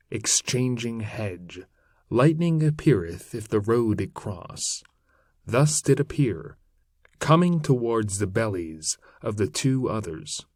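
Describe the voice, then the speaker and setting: A man reading classic epic verse aloud as a narrator, in a measured, declamatory manner. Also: mid-range voice, a little mellow, no room sound, clean studio sound. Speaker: reader of classic poetry. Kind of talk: literary poetry narration, read aloud.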